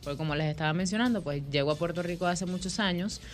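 A woman's voice: fairly low pitch, fast talker, a little hiss or hum. Chatty Venezuelan woman telling her story. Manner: chatty, storytelling, laughs easily. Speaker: guest, microblading artist.

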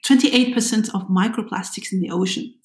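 A woman from France co-hosts a podcast in a casual, conversational style. Her voice sits at a medium pitch. The recording is roomy, with audible echo around her words. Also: fairly quick. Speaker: podcast co-host.

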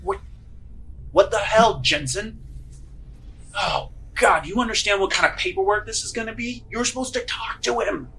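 A man's voice: sits high, normal speed, vocal fry, bright, forceful tone, a little hiss or hum, voice pushed up, exaggerated voice, low-quality recording. A man voicing an exasperated but loyal prison guard in a tabletop game, complaining in a put-upon way. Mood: alarmed, exasperated. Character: exasperated, overweight, balding male prison guard, loyal friend.

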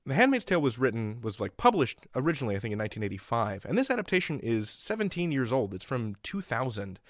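A man's voice: mid-range voice, sing-song, quick pace, no echo, clean studio sound. An American man hosting a podcast in a chatty, folksy, emphatic way as he introduces and interviews.

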